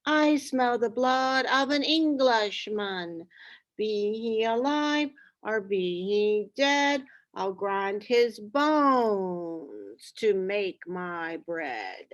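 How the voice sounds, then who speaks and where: A woman voicing a terrible, hungry male giant in a storybook, roaring and chanting slowly. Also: medium pitch, lively intonation, highs cut off. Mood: menacing.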